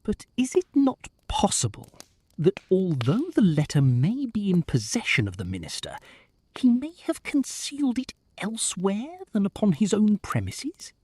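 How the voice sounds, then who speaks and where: A woman playing a polite, inquisitive companion in a detective audio drama, husky and formal. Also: mid-range voice, wildly swooping pitch, normal speed, dark tone, no room sound. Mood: curious. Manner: formal, questioning.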